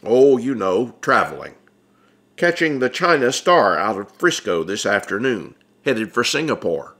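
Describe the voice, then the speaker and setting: Man playing a brash, teasing, bright-voiced big shot. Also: medium pitch, sing-song, measured pace. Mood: offhand. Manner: breezy, needling, swaggering. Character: brash, teasing middle-aged male radio celebrity. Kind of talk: audiobook character dialogue.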